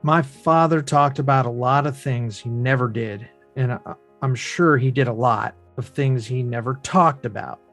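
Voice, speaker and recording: A man's voice: mid-range voice, moderate pace, fairly bright tone, faint music behind, lightly acted. A man playing an earnest, probing adult son, speaking directly and bluntly in a drama table read. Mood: earnest, resigned.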